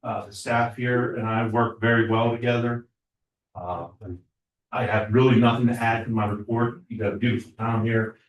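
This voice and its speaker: A man serving as code enforcement officer, reporting to a borough council with a halting delivery, many filler words and repeated starts. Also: mid-range voice, fairly even intonation, lo-fi sound. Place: municipal council chamber. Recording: echoey chamber audio from far off.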